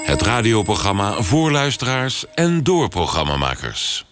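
Animated low male jingle announcer over music. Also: a little slow. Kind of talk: scripted radio jingle announcement. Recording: loud music under the voice.

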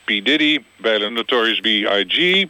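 A man's voice: on the low side, normal speed, clear, resonant tone, poor audio. A man playing an earnest director of a quality label, matter-of-fact and formal in a mock radio phone interview. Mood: earnest, deadpan. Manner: formal, matter-of-fact, deadpan about slang.

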